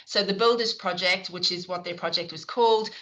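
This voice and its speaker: A woman's voice: on the low side, a little fast, a bit bright, dull audio. A middle-aged woman with a South African accent, chairing an online session and introducing the speakers in a chatty way, with lots of fillers and restarts.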